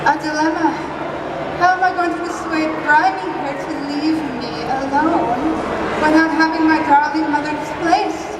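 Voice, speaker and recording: A high, slow, swooning young heroine of stage melodrama, acted by a woman, virtuous and gushing with theatrical flourish. She is heard from far off in a roomy space, with loud crowd noise around her. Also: steady tone, close to an everyday voice. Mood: flustered. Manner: gushing, theatrical, drawn-out alliterative delivery. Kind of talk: hammy old-time melodrama stage performance. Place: live fair stage, recorded from the audience.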